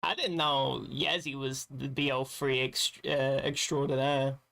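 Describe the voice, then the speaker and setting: A man streaming a game, his voice raspy and thick with gravelly fry. He sounds tired and rambles loosely, dropping casual swears as he chats. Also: mid-range voice, flat delivery, unhurried, pushed and bright. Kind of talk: casual gaming stream chat.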